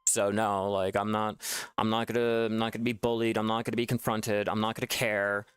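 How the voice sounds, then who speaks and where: A man's voice: fairly low pitch, little pitch movement, a little fast, clean tone, dry recording, clean studio sound. A man making gaming videos, ranting in a frustrated way with lots of swearing and restarts. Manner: frustrated, profane, repetitive restarts. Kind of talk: ranting gameplay commentary.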